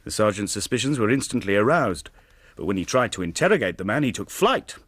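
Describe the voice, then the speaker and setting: An actor playing a brisk, formal Victorian English police inspector in a radio drama, speaking deliberately as if giving an official report. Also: male voice, on the low side, very animated, fairly bright tone, no room sound. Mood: official, grave.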